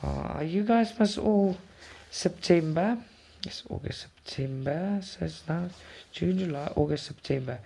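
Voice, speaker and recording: A woman's voice: fairly low pitch, measured pace, creaky, warm tone, slightly rough. A woman from South Africa whose first language is Afrikaans, chatting casually and effusively with viewers as a cross-stitch channel host. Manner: chatty, effusive, repeats words. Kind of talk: casual chat with live viewers.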